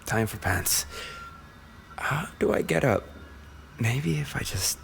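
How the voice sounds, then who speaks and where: A man's voice: mid-range voice, sing-song, slow, gravelly, a bit bright, faint music behind, full character voice. A man playing an injured young race-car driver boyfriend, tender and playfully needy, in an intimate roleplay audio. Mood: strained, careful.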